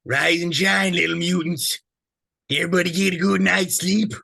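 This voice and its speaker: A smug male villain acted by a man in a dramatic script reading, giving orders and taunts. The voice is fairly high, with a gravelly creak and a bright, forceful edge, recorded dry and close. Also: steady tone, moderate pace, dry recording, exaggerated voice. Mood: gloating. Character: smug, commanding male villain goading others to kill. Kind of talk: dramatic screenplay table read.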